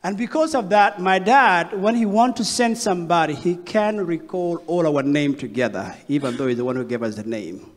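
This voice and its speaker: A man from South Sudan shares a personal story from a stage mic before a congregation. His voice sits a little high. He acts out the dialogue in his story. The big worship hall lends a long reverb. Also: moderate pace. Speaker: speaker giving a testimony. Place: large wood-panelled worship hall with audience.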